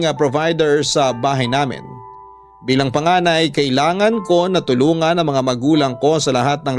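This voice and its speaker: A man with a Filipino accent reading a scripted first-person story as a radio drama narrator. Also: mid-range voice. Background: background music.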